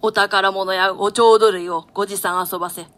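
A woman's voice: mid-range voice, fairly even intonation, moderate pace, fairly bright tone, lightly acted. A woman playing deferential, solemn courtiers in a classical stage play, using formal, humble, archaic courtly speech. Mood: urging, loyal.

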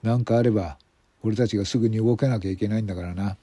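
A man playing a gruff, blunt senior male police detective in a radio drama, with rough, commanding speech. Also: lowish voice, rapid-fire, dark tone, no echo. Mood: stern.

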